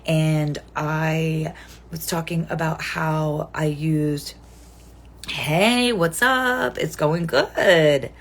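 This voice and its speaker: A woman's voice: low voice, very slow. A woman in her forties chatting casually on a live stream, rambling and answering viewer comments as they come in. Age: 40s.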